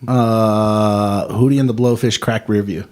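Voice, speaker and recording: A man in his mid-thirties, a guest musician on a radio show, chatting in an excitable, joking way. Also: lowish voice, unhurried. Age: 30s. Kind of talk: casual chat.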